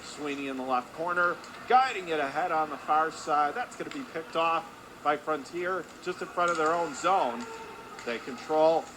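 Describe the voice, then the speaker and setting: High-voiced male hockey play-by-play announcer, noisy rink. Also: normal speed. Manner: clipped running phrases, rising excitedly with the action. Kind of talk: live radio sports commentary. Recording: clear background noise of an ice rink.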